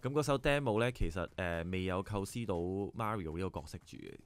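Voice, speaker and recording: Low-voiced hong kong bass player rattling on quick. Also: male voice. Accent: Hong Kong Cantonese. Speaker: band bassist. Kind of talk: casual radio interview.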